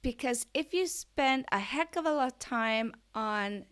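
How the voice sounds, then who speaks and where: Norwegian lady coach doing a podcast, kinda high voice, peppy and chatty. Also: expressive, unhurried, a bit bright, smooth. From Norway. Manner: upbeat, eager and chatty, often asking the listener questions. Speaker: online business coach. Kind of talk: podcast monologue.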